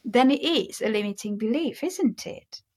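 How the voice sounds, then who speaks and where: Hungarian-accented woman coach, slightly high. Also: animated, moderate pace, darkish tone. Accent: Hungarian mixed with British and American. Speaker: guest, mindset coach. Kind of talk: casual interview conversation.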